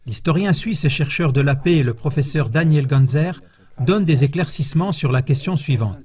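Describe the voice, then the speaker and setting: A man reading a scripted news report in a measured, formal voice-over. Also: medium pitch, a little mellow. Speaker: news narrator.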